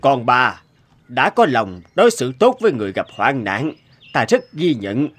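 An actor playing a stern, dignified god of wealth in an animated folk tale, speaking formally and admonishingly. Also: male voice, medium pitch, normal speed, faint music behind. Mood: solemn.

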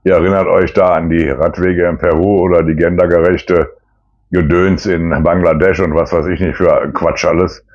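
Very deep-voiced middle-aged man, dull sound. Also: level tone, normal speed. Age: 50s. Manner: blunt, colloquial, disapproving. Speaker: politician hosting a weekly news commentary. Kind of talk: informal political commentary to camera. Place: small plain indoor room.

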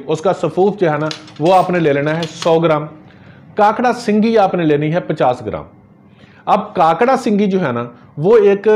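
A middle-aged herbalist speaks earnestly to a fixed camera at his desk, in a slightly raised pitch. He gestures as he walks viewers through a home remedy, slipping religious phrases into his advice. The sound is that of a small office lined with framed photos. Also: a little mellow.

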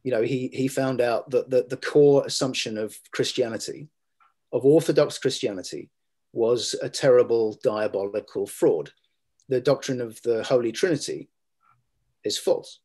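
A middle-aged man, a guest scholar, talking in a measured and halting way with many fillers and restarts, recorded over a video call from a room at home. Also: medium pitch, dark tone. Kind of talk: academic discussion on a video call.

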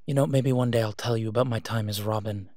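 A man's voice: on the low side, fairly even intonation, fast talker, a little mellow, no echo, studio quality, close to an everyday voice. A man playing a protective, exasperated young vigilante hero in an audio roleplay, speaking earnestly and urgently. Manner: earnest, urging.

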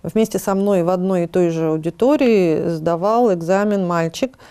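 A woman's voice: on the low side, normal speed, warm tone, smooth. A woman in her fifties from the Urals, a studio guest and educator, talking things over with hesitant fillers in a TV studio. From Urals, Russia. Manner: reflective, hesitant, many fillers. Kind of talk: casual studio conversation. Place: TV studio set.

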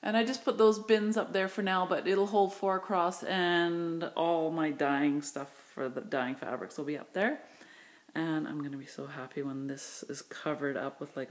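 A woman filming with a handheld phone as she walks through her large indoor craft studio, chatting eagerly about her new shelves and storage. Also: fairly low pitch. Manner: chatty, enthusiastic, rambling with fillers. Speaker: studio owner giving a tour. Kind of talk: casual walkthrough vlog. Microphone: handheld phone camera.

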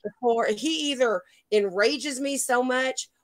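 A woman's voice: sits high, slow pace, dry recording, studio quality. A woman co-hosting a casual online stream, rambling emphatically and giggly as she talks with live chat. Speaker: livestream co-host.

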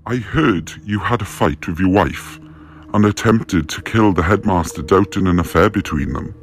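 An actor playing a concerned, sympathetic prison jailer in an audio drama, with an official manner. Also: male voice, deep voice, moderate pace, a little hiss or hum. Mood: reassuring.

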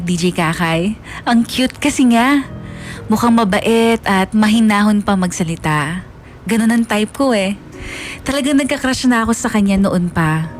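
A woman's voice: medium pitch, natural voice. A woman playing a lovestruck narrator who recounts her school crush earnestly and wistfully, as a radio drama confession. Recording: music under the voice.

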